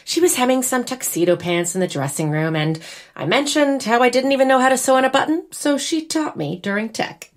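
A woman's voice: high voice, animated, fairly quick. A woman playing a wry, wistful young American bride in a naturalistic audio drama. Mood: wry, chatty.

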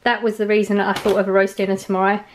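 A woman's voice: mid-range voice, level tone, rapid-fire, a little hiss or hum. A woman in her forties chatting casually at home in her kitchen, rambling cheerfully about the bargains she bought. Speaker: vlogger. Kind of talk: casual grocery haul chat.

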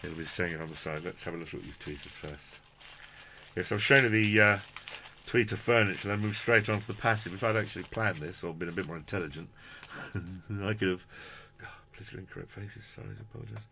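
A man's voice: low voice, fairly quick, gravelly, bright, forceful tone, a little hiss or hum. A British man in his fifties presenting an unscripted product review in a casual, chatty, rambling, self-deprecating way. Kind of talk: casual unscripted unboxing commentary. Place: small cluttered room indoors.

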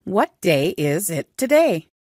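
A woman with a low voice repeats short practice phrases, each one slowly and carefully. Her pitch rises and falls a lot. The recording is dry and close. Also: sing-song, darkish tone, dry recording. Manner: slow, careful phrase repetition. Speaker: English teacher. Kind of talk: repeating phrases for language learners.

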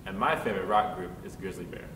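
A young man, a student, speaking in a sincere, reflective way. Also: quick pace. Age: young adult. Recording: echoey hall, distant mic, rumble.

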